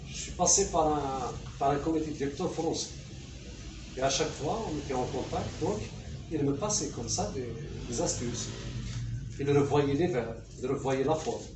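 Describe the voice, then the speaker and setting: A man's voice: medium pitch, moderate pace. A man from Kabylia with an Algerian accent, a native Kabyle speaker, talking as an interviewed poet and explaining his points with repeated phrases. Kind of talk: conversational interview about writing. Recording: echoey room, distant mic, faint noise.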